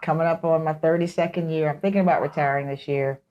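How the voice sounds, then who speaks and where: A woman's voice: low-pitched, steady tone, fast talker, dull audio, a little hiss or hum. An American woman in her fifties talking emphatically in a conversational interview, as a guest with strong opinions. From Pittsburgh, United States. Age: 50s. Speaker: podcast guest, teacher.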